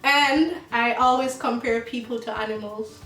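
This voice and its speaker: A young woman from Jamaica co-hosting a casual chat, slow and laughing often. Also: on the high side, steady tone, fairly bright tone. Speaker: channel co-host. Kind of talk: casual get-to-know-me chat. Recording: roomy sound, mic at a distance, music behind.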